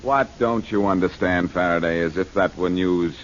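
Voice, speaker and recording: An actor playing a confident, wisecracking amateur detective in a 1940s radio drama, speaking in a glib, theatrical way. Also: male voice, low-pitched, moderate pace, over loud music, lightly acted. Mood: smug. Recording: muffled, noisy 1940s radio recording.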